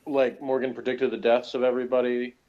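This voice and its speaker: A man's voice: mid-range voice, level tone, fairly quick, background noise. A man chatting casually as a guest reviewer, hesitant, rambling and flippant, on dull lo-fi audio. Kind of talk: casual chat.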